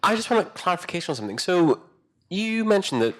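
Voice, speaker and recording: A man's voice: sits high, big pitch swings, fast, fairly bright tone. A man in an audience politely asking a speaker to clarify a point. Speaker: audience questioner, museum staff member. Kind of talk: polite question at a talk.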